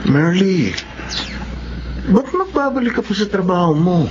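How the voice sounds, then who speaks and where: A man's voice: sits high, big pitch swings, measured pace, highs cut off, over loud music. A man playing a worried, disapproving young Filipino husband in a radio drama.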